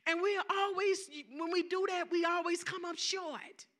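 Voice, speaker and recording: A woman's voice: very high voice, little pitch movement, a little slow, fairly bright tone. A woman with a Southern accent preaching a sermon, emphatic, repeating key phrases and calling out for amens.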